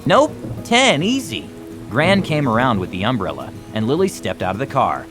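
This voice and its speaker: A man's voice: lowish voice, huge pitch swings, fairly quick, music in the background. A man narrating a children's storybook expressively, as a storytime reader. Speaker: storytime reader, music club teacher.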